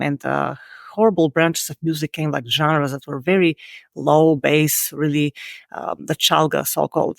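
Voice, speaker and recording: Female guest, 40s, Bulgarian accent, gravelly texture, earnest and self-critical storyteller. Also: low voice, darkish tone, dry recording, studio quality. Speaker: podcast guest. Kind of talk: reflective interview answers.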